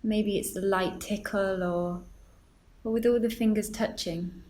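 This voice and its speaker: Woman guiding meditation in an even tone. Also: medium pitch. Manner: gentle, instructive, with deliberate pauses. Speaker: meditation guide. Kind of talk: guided meditation.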